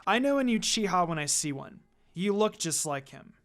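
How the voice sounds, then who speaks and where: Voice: medium pitch, sing-song, brisk. A reader voicing a guarded, blunt young female ninja who teases and commands in a terse, dry manner. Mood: stern.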